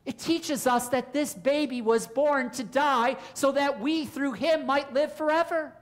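Casting: male preacher, forties, clear teaching sermon delivery, emphatic, posing questions to listeners. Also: very high-pitched. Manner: deliberate, emphatic, explanatory. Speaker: Lutheran pastor. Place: church, at a pulpit indoors.

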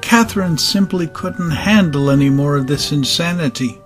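Male narrator for dramatized fiction, fairly high voice, light music underneath. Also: normal speed.

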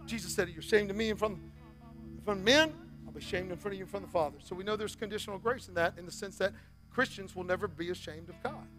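A man in his forties, a pastor preaching a sermon on a church stage, swinging from loud exhortation to soft, near-whispered appeals. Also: high-pitched, fast talker, faint music behind.

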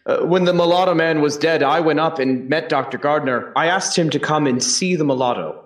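A man's voice: fairly high pitch, fairly quick, close to an everyday voice. A man playing a colonial Boston eyewitness giving plain testimony in a historical courtroom reenactment. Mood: matter-of-fact. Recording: roomy echo, distant microphone.

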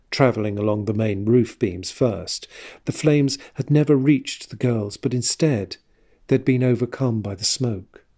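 Husky male storyteller, measured and somber. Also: mid-range voice, mellow. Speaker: narrator of an audio drama. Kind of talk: scripted dramatic storytelling narration.

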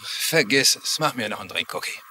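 A man's voice: mid-range voice, animated, brisk, natural voice. A dubbing actor playing a brash, charming middle-aged showman in a TV crime drama, breezy and boastful. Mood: cocky. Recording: faint background noise.

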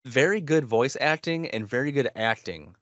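A man, co-hosting a podcast in a laid-back, halting, conversational way. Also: mid-range voice, animated, no echo, muffled recording. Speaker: podcast co-host. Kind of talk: casual chat about video games. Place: home bedroom. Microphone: headset, video call.

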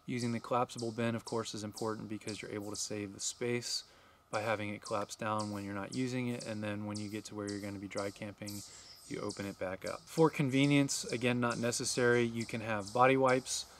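A man in his forties gives practical camping tips to the camera in a steady, explaining way, speaking outdoors at a wooded campsite. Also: lowish voice, a little fast, slight background noise. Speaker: RV travel vlogger. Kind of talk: instructional vlog to camera.

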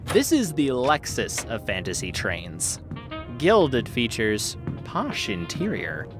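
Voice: mid-range voice, wildly swooping pitch, takes it slowly, music in the background. A performer voicing a showy game master who narrates like an announcer. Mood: hyped. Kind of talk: comic dub voice acting.

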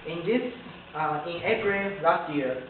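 A young man from Japan, a native Japanese speaker, giving a prepared student speech in careful, halting English with a Japanese accent. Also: a little high, lively intonation. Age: young adult. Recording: tinny, echoey distant recording with faint noise.

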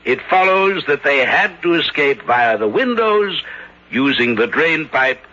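An actor playing a brilliant, coolly logical and patronizing amateur detective in an old radio mystery, speaking deliberately and theatrically. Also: male voice, on the high side, very animated, pushed and bright, exaggerated voice. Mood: condescending, instructive. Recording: dull vintage radio recording.

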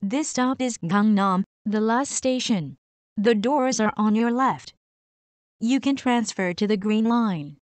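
Very slow, deliberate woman train announcer. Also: a little high, no echo. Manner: formal, deliberate enunciation. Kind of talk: scripted subway public announcement.

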